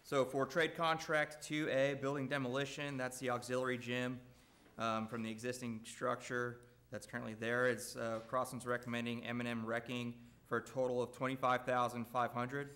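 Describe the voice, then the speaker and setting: A man addresses a school board meeting, walking through construction bid recommendations. His voice is loud and his pitch stays very flat and level. He speaks steadily with plenty of "uh"s between figures. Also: moderate pace. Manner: loud, steady, even delivery with frequent "uh". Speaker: construction company representative.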